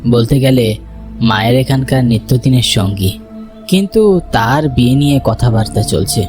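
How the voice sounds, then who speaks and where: A man playing a homesick, worried village son, narrating a dramatized first-person story in an expressive, reflective way. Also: medium pitch, normal speed, over music, close to an everyday voice. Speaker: narrator and main character.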